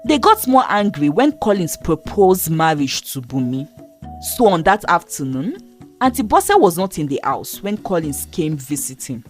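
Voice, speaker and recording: Woman reading out a folk story, low voice, lots of rise and fall, faint music under it. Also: big pitch swings, moderate pace. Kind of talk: scripted story narration.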